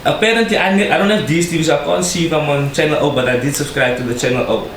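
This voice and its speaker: A man's voice: a little high, very fast, fairly bright tone. A young man from South Africa with a Cape Town accent, a rapper talking casually as a podcast guest, slangy and laughing often. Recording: echoey room with noise.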